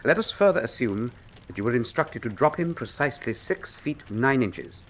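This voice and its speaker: An actor playing a brilliant, self-assured Victorian detective in a radio mystery, with a wry, precise, formal manner. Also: male voice, mid-range voice, expressive, normal speed, fairly bright tone, slight background noise. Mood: brisk, courteous. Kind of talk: full-cast radio mystery drama acting.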